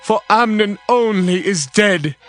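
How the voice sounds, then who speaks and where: An actor playing a calm, reassuring male royal counsellor in a dramatized Bible audio play, with measured formal archaic speech. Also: sits high, faint music behind.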